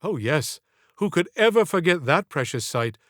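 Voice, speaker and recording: A man's voice: on the high side, big pitch swings, normal speed, fairly bright tone, dry recording, studio quality. A man voicing a devoted poet in an audiobook, courteous, deferential and flowery in his speech. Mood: fond, courteous. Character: devoted male poet friend, courteous, gently admiring and florid. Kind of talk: audiobook character dialogue.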